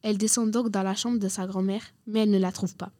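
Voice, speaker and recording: A woman's voice: medium pitch, steady tone, fast talker, mellow, no echo, studio quality. A woman reading scripted narration for a radio drama.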